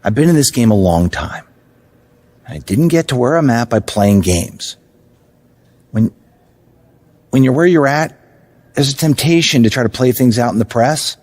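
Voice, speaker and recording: A man playing a stern, frank veteran basketball coach in a video game cutscene, blunt and firm but supportive in a measured delivery. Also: medium pitch, animated, a little mellow, close to an everyday voice. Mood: firm, urging.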